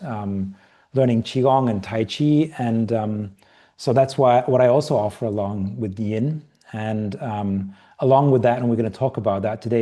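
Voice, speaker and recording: A middle-aged man speaks as a guest in a casual interview. His voice is mellow, dark and a bit low, and he phrases his thoughts reflectively, in halting pieces. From Britain, raised partly in Asia. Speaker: podcast guest, yoga and qigong teacher trainer.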